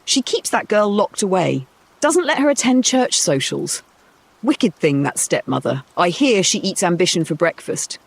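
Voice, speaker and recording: A spiteful town gossip, played by a man in a storybook. The voice sits higher, judgmental and gossipy, with a husky edge. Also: very animated, moderate pace, heavily acted. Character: spiteful, gossiping townsfolk at a market.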